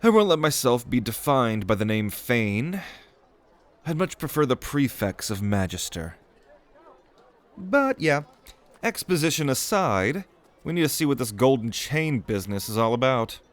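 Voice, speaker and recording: A man's voice: mid-range voice, very animated, unhurried. A man playing an eager, proud young noble mage prince in an audio roleplay, speaking in a theatrical, earnest way. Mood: wistful, ambitious. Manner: theatrical, earnest, grandly expository. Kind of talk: acted audio roleplay spoken to the listener.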